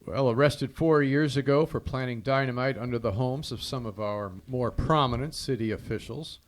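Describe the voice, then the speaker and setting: An actor playing a brisk, wry private detective in a 1940s radio drama, clipped and businesslike. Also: male voice, mid-range voice, close to an everyday voice. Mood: wry, tense.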